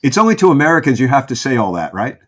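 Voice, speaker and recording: A man's voice: mid-range voice, very animated, racing, slightly rough. A man talking casually as a podcast guest, with a wry, joking manner. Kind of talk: casual group chat.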